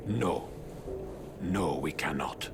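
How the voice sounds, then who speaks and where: A wise elderly rat sensei for an action game. His voice is deep and gravelly, with a formal, grave delivery. Loud music plays underneath. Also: expressive, slow pace, heavily acted. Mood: grave, worried. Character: wise old male rat sensei, grave father figure. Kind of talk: animated action game voice acting.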